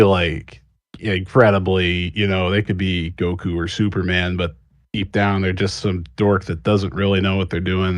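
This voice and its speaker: A man talking casually as a panelist, thinking aloud in a halting, rambling way. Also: low-pitched, no echo, clean studio sound. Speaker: panelist, scriptwriter.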